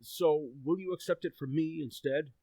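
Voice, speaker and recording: A man playing a stern but forgiving suburban father in old-time radio comedy, with a husky voice. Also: fairly high pitch, normal speed, warm tone, dry recording, poor audio. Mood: apologetic, proud.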